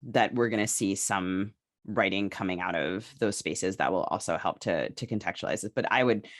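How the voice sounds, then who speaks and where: Woman podcast cohost just chatting, says like a lot, kinda halting as she thinks out loud. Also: a bass voice. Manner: hesitant phrasing with frequent "like" and "right". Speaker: podcast co-host. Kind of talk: casual discussion.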